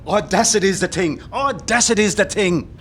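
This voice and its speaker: A man's voice: high-pitched, fairly quick, fairly bright tone, a little hiss or hum. A man playing a resolute, composed rebel commandant in an audio play. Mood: commanding.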